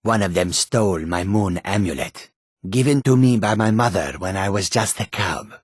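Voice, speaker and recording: Deep, husky, self-assured catfolk adventurer. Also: male voice, moderate pace, no room sound, studio quality. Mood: calm, courteous. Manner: self-assured, formal, speaks of himself in third person. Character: proud, courteous male catfolk mage and warrior. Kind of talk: video game character dialogue.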